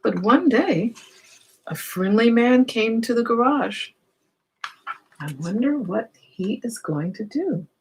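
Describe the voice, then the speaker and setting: A woman's voice: fairly high pitch, expressive, takes it slowly, a little hiss or hum, rough recording. A woman reading a children's story aloud, expressively, with explanatory asides and questions for young listeners.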